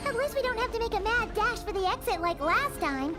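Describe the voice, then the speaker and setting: An actress voicing a tiny, childlike fairy companion in a video game, chirpy, fretful and expressive, in a squeaky cartoonish voice. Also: female voice, brisk, heavily acted. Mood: relieved. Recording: loud game music behind the voice.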